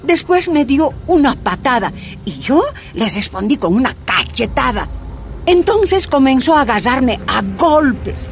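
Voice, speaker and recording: An actress narrating as a miner's wife recounting her torture in a radio drama, grave and measured. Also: high-pitched, sing-song, exaggerated voice. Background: soft music.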